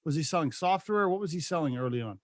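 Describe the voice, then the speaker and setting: A man's voice: on the high side, lively intonation, fast, no echo, studio quality. A man in his fifties hosting a podcast interview, chatty and jokey, firing off several questions in a row. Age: 50s. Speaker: podcast host. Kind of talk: casual interview chat.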